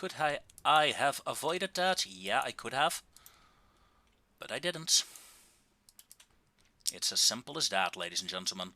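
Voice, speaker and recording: A man giving casual, wry and understated commentary on a video game, with drawn-out pauses, into a close microphone. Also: mid-range voice, lively intonation, sharp tone.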